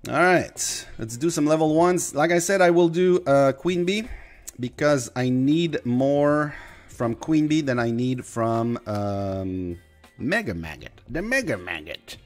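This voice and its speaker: Chatty man with a slight Quebec French accent. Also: on the high side. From Quebec, Canada. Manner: rambling, chatty, talks to chat. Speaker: game streamer. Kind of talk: casual gameplay commentary.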